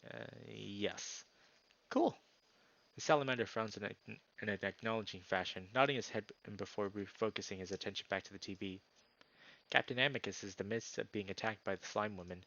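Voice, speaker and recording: Give me a low-pitched male streamer reading a story game aloud, informal and expressive, on a dull-sounding mic. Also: fast. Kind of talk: narration with chat asides.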